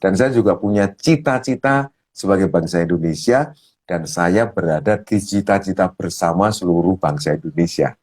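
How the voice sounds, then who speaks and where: A man speaking as a coach, explaining ideas step by step in a slow, thoughtful way with many fillers. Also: low voice, a little mellow. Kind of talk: explaining ideas in a talk show.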